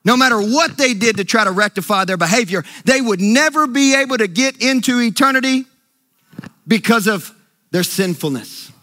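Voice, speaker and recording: A man preaching a sermon with emphatic, sing-song delivery that sometimes drops to a low, hushed voice. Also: expressive, normal speed. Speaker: pastor.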